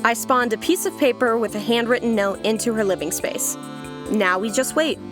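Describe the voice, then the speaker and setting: Woman acting jaded sarcastic woman, higher-than-average voice, blunt, bossy, audio comedy drama, music behind. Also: normal speed, natural voice. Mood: dryly hopeful. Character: sardonic, bossy, jaded woman stuck in digital afterlife.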